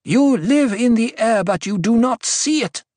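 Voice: medium pitch, slow pace, no echo, studio quality, voice pushed up. A narrator voicing a fierce young prophetess in an audiobook, commanding and intoning cryptic pronouncements to a crowd. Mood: sharp, angry. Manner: commanding, ritual intoning that turns sharp.

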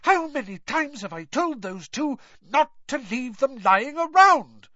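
An exasperated storybook witch mother, acted out by a male narrator, pitched high and swinging sharply up and down. She scolds with fretful, bad-tempered emphasis, like a parent at the end of her patience. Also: wildly swooping pitch, moderate pace, dry recording, muffled recording. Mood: shouting, exasperated. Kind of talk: audiobook character voice.

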